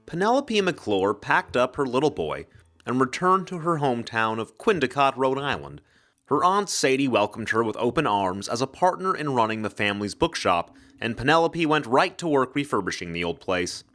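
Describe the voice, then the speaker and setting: Male narrator for noir-flavored mystery fiction, mid-pitched, dramatic delivery with lively, wide intonation. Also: normal speed, faint music behind. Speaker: actor reading an audiobook-style story. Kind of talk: dramatic scripted narration of hard-boiled ghost mystery.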